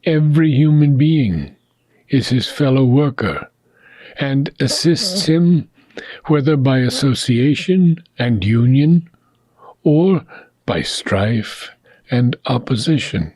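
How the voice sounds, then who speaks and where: Male narrator for philosophical audiobook: husky, unhurried, solemn and deliberate, with frequent pauses. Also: mid-range voice, animated, warm tone, no room sound. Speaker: reader of a spiritual philosophy book. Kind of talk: scripted audiobook reading.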